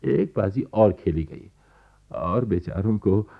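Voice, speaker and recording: An actor playing an amused storyteller in a radio play, narrating in a wry, chatty way. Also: male voice, lowish voice, expressive, slow pace, creaky, heavily acted. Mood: amused, relishing. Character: amused male storyteller recounting neighbourhood gossip. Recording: dull, lo-fi archive radio recording.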